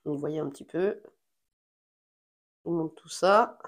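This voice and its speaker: A woman's voice: deep voice, a little slow. A woman with a head cold chatting casually as she unboxes a board game, thinking aloud with plenty of fillers. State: has a cold.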